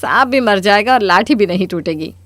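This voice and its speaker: A woman's voice: medium pitch, animated, a little fast, fairly bright tone, no room sound, natural voice. A woman playing a stubborn, scheming middle-aged mother-in-law in a Hindi cartoon story, with a theatrical, scolding delivery.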